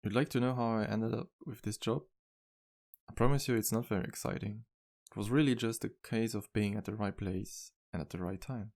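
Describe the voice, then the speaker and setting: A man playing a low, creaky-voiced charming vampire bartender. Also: fairly quick, lightly acted. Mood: casual, modest. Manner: gentle, courteous, slightly formal phrasing. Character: charming, polite, modest male vampire bartender with guilty past. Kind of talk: ASMR roleplay, intimate one-sided dialogue.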